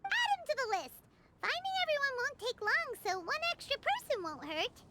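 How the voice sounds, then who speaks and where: A woman voicing a tiny, chirpy, childlike fairy companion in an anime video game, squeaky, blunt and playful. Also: big pitch swings, normal speed, slight background noise, exaggerated voice. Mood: musing, cheeky. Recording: loud background music.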